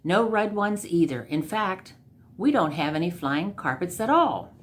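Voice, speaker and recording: A woman's voice: lowish voice, lively intonation, a little slow. A woman voicing an unhelpful, matter-of-fact rug merchant in a storybook, chirpy and obtuse. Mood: breezily unhelpful.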